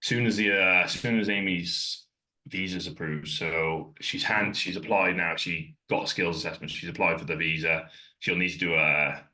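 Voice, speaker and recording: Very deep-voiced, bright-toned Welsh man. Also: normal speed, low-quality recording. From Wales. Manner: relaxed and candid, rambling with fillers. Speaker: podcast guest, fitness coach. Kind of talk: casual chat. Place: in Ireland, remote.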